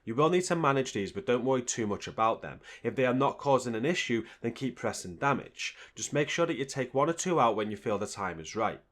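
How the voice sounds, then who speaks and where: A man narrates a video game guide in a mid-pitched voice. He delivers it as scripted, instructional commentary, explaining things step by step. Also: expressive, a little fast, hardly any pauses, fairly bright tone.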